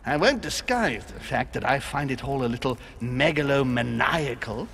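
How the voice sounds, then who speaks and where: An actor playing a genteel, courteous aristocratic host with a courtly, theatrical manner in a video game. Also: male voice, medium pitch, animated, normal speed, vocal fry, fairly bright tone, slight background noise. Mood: amused, gracious. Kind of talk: video game cutscene acting.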